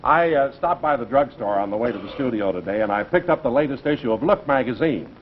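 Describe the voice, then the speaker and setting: A man plays eager sidekick in scripted comedy banter, pushing into the conversation. His pitch is medium. The old broadcast sounds dull, with faint noise. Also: expressive, quick pace. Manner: eager, interrupting. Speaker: show announcer and sidekick. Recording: dull, noisy 1950s TV broadcast.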